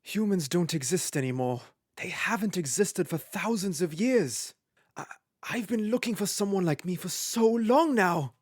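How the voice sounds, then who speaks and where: A woman voicing a lonely, caring creature who was once human, earnest and gentle, in a comic dub. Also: fairly low pitch, animated, normal speed, slightly rough, dry recording, clean studio sound. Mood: earnest, pleading. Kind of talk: animated comic dub acting.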